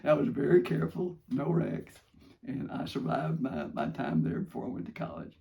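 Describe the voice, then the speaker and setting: A man's voice: medium pitch, sing-song, moderate pace, gravelly, dull audio. An older man with an American accent, casually telling first-person stories in a rambling, modest, homespun way. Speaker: father telling stories from his youth.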